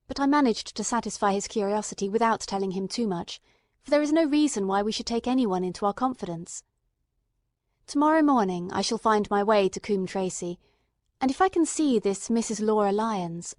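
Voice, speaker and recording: A woman narrating a dramatized mystery story in a measured, literary storytelling manner. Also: medium pitch, a little mellow, no echo, clean studio sound. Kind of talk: dramatized audiobook narration, reading dialogue in character.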